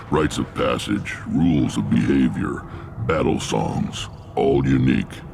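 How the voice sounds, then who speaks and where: A massive alien warlord from a video game, with an extremely deep, heavily creaking voice. He speaks bluntly and with weathered authority, as a leader of clans, over clear background rumble. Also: full character voice. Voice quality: gravelly, rumbling. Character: huge gruff alien warlord clan leader, commanding, weathered.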